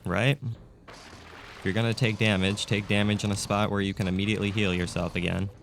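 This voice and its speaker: A man with a scratchy, raspy throat, casually commentating a video game as he plays. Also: lowish voice, flat delivery, a little fast. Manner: chatty, thinks aloud with fillers. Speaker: gameplay commentator. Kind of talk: casual let's-play commentary.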